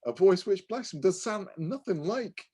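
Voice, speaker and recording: A man co-hosting a podcast, talking casually in an animated, digressive way as he reviews things. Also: sits high, normal speed, husky. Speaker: podcast co-host.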